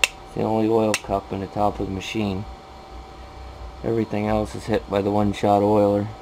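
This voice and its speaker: American man, slightly low flat voice, casual workshop demonstration, plain-spoken, noisy amateur recording. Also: normal speed. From California, USA. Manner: plain-spoken, matter-of-fact. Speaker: machinist demonstrating a repair. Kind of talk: casual hands-on workshop walkthrough. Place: machine shop, indoors.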